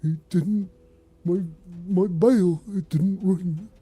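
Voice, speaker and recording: A man's voice: sits high, steady tone, slow, dark tone, husky, full character voice. A man voicing a distraught, bewildered creature guard in a tabletop game, stammering and aggrieved. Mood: distressed, pleading.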